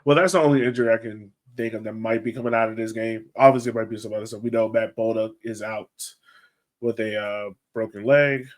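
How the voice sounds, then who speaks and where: A man's voice: fairly low pitch, fairly even intonation, a little fast. A man hosting a sports podcast, talking in an informal, chatty, conversational way. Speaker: podcast host. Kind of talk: casual sports podcast chat.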